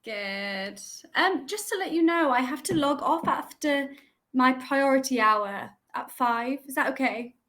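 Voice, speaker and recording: A woman's voice: sits high, expressive, measured pace, a bit bright. Chatty young woman tutor on a call. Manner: chatty, hesitant with many ums. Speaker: online English tutor. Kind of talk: casual video call chat. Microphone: video call.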